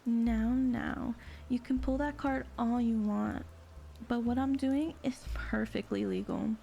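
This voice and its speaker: A woman's voice: fairly high pitch, steady tone, slow, gravelly, mellow, a little hiss or hum. A woman playing a sly, sweet-talking con artist in an audio drama, with a sassy, teasing, self-assured delivery. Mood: playful, defensive. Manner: sassy, drawling terms of endearment, teasing.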